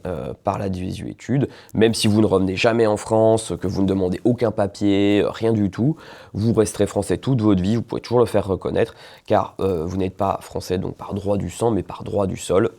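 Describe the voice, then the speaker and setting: Low, gravelly-voiced man explaining law. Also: dark tone. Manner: conversational, many hesitations, checks in with "d'accord". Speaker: lawyer explaining nationality law. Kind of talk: informal explainer video.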